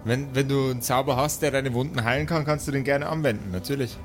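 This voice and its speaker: A man's voice: mid-range voice, fairly even intonation, fast. A man improvising narration as game master of a tabletop game, with mock-formal storytelling phrasing. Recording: music bed behind the voice.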